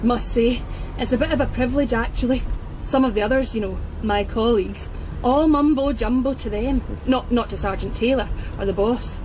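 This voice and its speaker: A woman's voice: fairly high pitch, normal speed, darkish tone, lightly acted, rough recording. Chatty Scottish policewoman, played by a woman in a car. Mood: nervous, eager. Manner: rambling, nervous, apologetic. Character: chatty, eager, apologetic young female police detective. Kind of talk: naturalistic radio drama acting. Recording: car interior with engine noise.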